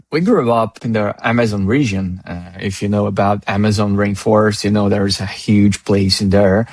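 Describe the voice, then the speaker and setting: A man's voice: fairly low pitch, no echo. A man from northern Brazil with a Brazilian Portuguese accent, a native Portuguese speaker talking as an interview guest in a rambling way, with many restarts and fillers. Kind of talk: casual interview chat.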